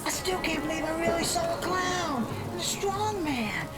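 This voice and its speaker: A woman's voice: very high voice, expressive, normal speed. A woman playing an eager, innocent little boy in a motion comic. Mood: eager, happy. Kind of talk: dramatic motion comic acting. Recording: very loud music behind.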